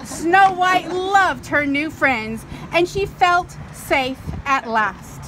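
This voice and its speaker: High-pitched woman reading a storybook outdoors. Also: drawn-out words, pushed and bright, rough recording. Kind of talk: storybook read-aloud to a group. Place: outdoor restaurant patio among a group. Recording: outdoor patio, traffic and chattering group.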